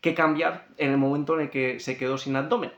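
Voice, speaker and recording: A man's voice: mid-range voice, fairly even intonation, fairly quick, highs cut off. A man with a European Spanish accent giving an informal, didactic explanation as a fitness coach, in long run-on sentences with frequent reminders. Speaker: fitness coach presenting a training guide. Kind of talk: informal explanatory talk to camera. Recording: echoey room.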